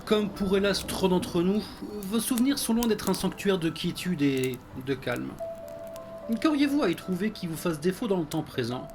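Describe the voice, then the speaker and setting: An actor playing a fussy, loyal butler in a French audio drama, ornate and deferential with prim disapproval. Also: male voice, high voice, lively intonation, moderate pace, music in the background. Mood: concerned, reproachful.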